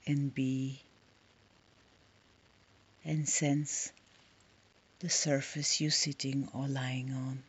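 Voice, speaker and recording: A woman leading a guided meditation in a soft, soothing, breathy voice, leaving long pauses between short phrases. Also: very deep voice, level tone, highs cut off.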